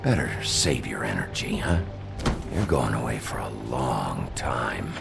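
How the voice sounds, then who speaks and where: A man voicing a gruff, menacing, short-tempered sheriff in a video game, gravelly and blunt. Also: rumbling low, sing-song, measured pace, heavily acted. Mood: threatening. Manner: blunt, threatening. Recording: loud music under the voice.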